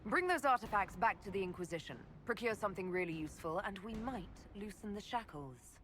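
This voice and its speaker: A woman's voice: mid-range voice, lively intonation, a little fast, a bit bright, a little hiss or hum, close to an everyday voice. An actress voicing a resolute female Inquisitor who speaks firmly and decisively. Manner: firm, decisive.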